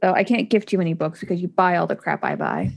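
A woman in easy, rambling conversation, her voice carrying a steady gravelly fry. She stops and restarts her sentences often and fills the gaps with ums and likes, the way a relaxed podcast co-host talks. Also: on the low side, quick pace. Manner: chatty, rambling, many fillers and restarts. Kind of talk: casual chat about books.

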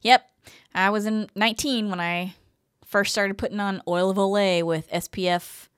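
A woman's voice: medium pitch, fairly even intonation, normal speed, clean tone, dry recording, studio quality. A woman in her fifties co-hosting a podcast, chatting casually and reading from articles. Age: 50s. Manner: chatty, reads quotes aloud then comments. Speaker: podcast co-host.

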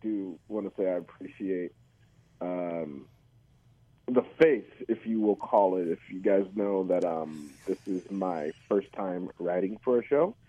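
A man from California calling into a radio show on the phone, eager and excited. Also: low-pitched, measured pace, husky, no room sound. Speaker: caller. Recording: dull, dry phone-call sound.

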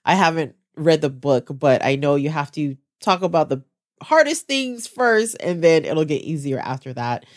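Low-voiced, stuffed-up woman podcaster. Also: animated, moderate pace. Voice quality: lower, congested voice. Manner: chatty, encouraging, direct to listeners. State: stuffed up, getting over the flu. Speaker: podcast host and special education teacher. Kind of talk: solo podcast talk.

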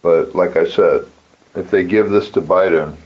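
A man with a very low voice speaks slowly and bluntly, swearing as he rants. He sounds like he is on a voice chat channel, with muffled audio and faint noise behind him. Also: steady tone, breathy edge. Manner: profane, blunt, emphatic. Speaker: participant in a political radio chat channel. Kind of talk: informal political rant over voice chat. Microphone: push-to-talk voice chat app. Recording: muffled walkie-talkie app audio with background noise.

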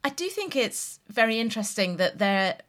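A chatty woman interviewer puts questions to her guest. She talks conversationally and becomes more animated when she makes a point of her own. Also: fairly high pitch, moderate pace. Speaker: podcast interviewer.

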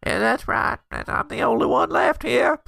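A man's voice: very high-pitched, moderate pace, gravelly, sharp tone, no echo, clean studio sound, voice pushed up, heavily acted. A man voicing a blustery, exasperated small-town sheriff's deputy. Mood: flustered.

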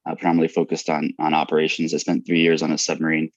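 Very deep, fast-talking American man. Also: completely flat delivery, no room sound. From United States. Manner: halting, many restarts and fillers, laughs lightly. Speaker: guest, MBA student. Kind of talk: casual interview answers. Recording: dull lo-fi dry sound.

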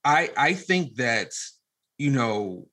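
Man, very bright forward voice, mid pitch, casual podcast chat, halting, rambling, frequent restarts. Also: sing-song. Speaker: podcast guest and media producer. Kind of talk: casual conversational interview talk.

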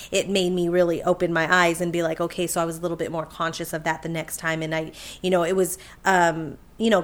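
A woman with a fairly low voice talks candidly on a podcast. Her tone is reflective and easygoing, and she laughs easily. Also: a bit bright. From Puerto Rico. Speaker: podcast guest. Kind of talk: casual podcast conversation.

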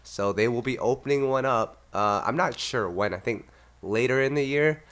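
A man's voice: medium pitch, moderate pace, a bit bright. A Canadian man hosting a podcast, congested and a bit nasal from illness, easygoing and laughing often. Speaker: podcast host. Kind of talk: casual chat.

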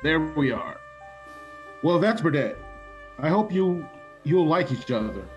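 A man playing an offbeat, polite and unruffled gentleman dog owner in a comedic radio play. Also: on the high side, animated, a little slow, muffled recording, soft background music.

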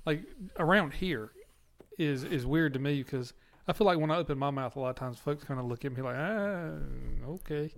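A man's voice: on the high side, moderate pace. A man with a Southern accent chiming in during casual chat. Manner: laughs along, chimes in.

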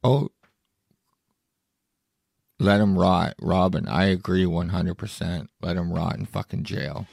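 A podcast host with a lowish, gravelly voice that is going hoarse from a cough. He reads out news items and reacts in a blunt, indignant way, swearing freely. The sound is close and dry, with no room around it. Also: slow pace, long pauses, a little mellow, no echo. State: hoarse, losing his voice with a cough. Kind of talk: solo podcast commentary reading news aloud.

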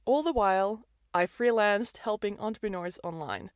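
An upbeat woman, hosting her podcast in a scripted monologue. Her pitch sits mid-range, and her enthusiasm comes through a close mic in a dry, dead room. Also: normal speed, no room sound. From Norway. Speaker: podcast host and business coach.

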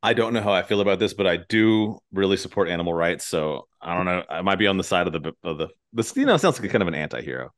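A man's voice: sits low, animated, fast, hardly any pauses. A man hosting a podcast, chatting casually and narrating scripts. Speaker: podcast host.

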